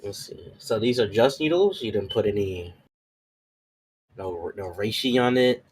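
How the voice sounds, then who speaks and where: A man's voice: on the low side, lively intonation, unhurried, a little hiss or hum, low-quality recording. A man playing a tabletop roleplay, chatting casually in character and often repeating his phrases as he improvises.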